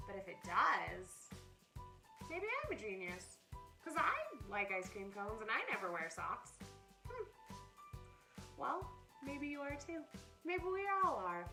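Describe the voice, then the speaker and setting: With music playing behind her, a woman reads to children in storybook style. Her delivery is expressive and playful, and she speaks to her young listeners directly. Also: on the high side. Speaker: camp director reading a picture book to children. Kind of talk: children's storybook read-aloud. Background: background music.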